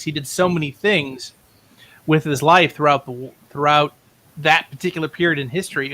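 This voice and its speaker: Bright-voiced male writer, discursive. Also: a little high. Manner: informed, discursive, self-correcting. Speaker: writer and playwright guest. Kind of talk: casual interview storytelling.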